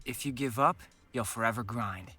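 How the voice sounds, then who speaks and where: A woman's voice: rumbling low, normal speed, pushed and bright, slightly rough, soft background music, exaggerated voice. A woman playing a blunt, cheeky, boisterous young game developer in a visual novel. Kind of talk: visual novel dialogue acting.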